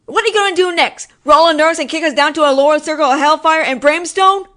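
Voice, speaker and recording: A woman performs a female mafia boss in an audio roleplay, her voice pitched on the high side. She talks in wry wiseguy slang and sighs at the incompetence around her. Underneath the exasperation she is apologetic and protective. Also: fast talker, a bit bright. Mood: exasperated. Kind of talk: roleplay audio drama monologue.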